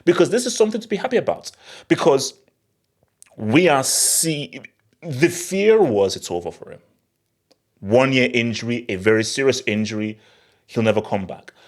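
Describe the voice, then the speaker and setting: A man's voice: mid-range voice, animated, normal speed, long pauses. A man hosting a football channel, talking to the audience in an emphatic, theatrical way and repeating phrases for effect. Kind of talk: animated solo football commentary to camera.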